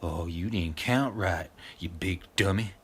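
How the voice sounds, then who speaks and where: A man's voice: deep voice, a bit bright, a little hiss or hum. A man playing a menacing, crude backwoods moonshiner in an audio drama, with a rustic, taunting way of talking. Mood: scornful. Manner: rustic, slow, taunting talk.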